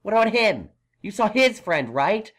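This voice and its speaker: A woman playing a brainy, exasperated young male unicorn magician in a fan audio drama, questioning in a measured way. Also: mid-range voice, sing-song. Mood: exasperated probing.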